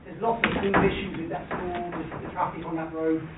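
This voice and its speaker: A man's voice: on the high side, level tone, a little fast, very noisy. A man from the public gallery pressing his complaint about a local road, insistent and talking over the councillors. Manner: insistent, talks over others. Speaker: local resident. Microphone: room microphone from far off. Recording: tinny, distant, echoey chamber audio.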